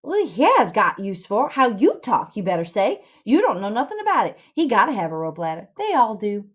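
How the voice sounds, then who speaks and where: A woman's voice: medium pitch, huge pitch swings, a little fast. A woman voicing a bossy, grandiose boy schemer in a storybook reading, lecturing scornfully in rustic dialect. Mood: exasperated, scornful.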